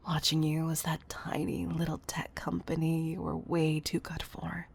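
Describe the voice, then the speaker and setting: Breathy, gravelly female CEO played by a woman, tenderly controlling. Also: sits low, completely flat delivery, moderate pace, darkish tone, heavily acted. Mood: confiding, possessive. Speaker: audio roleplay performer. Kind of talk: intimate audio roleplay monologue, partly whispered.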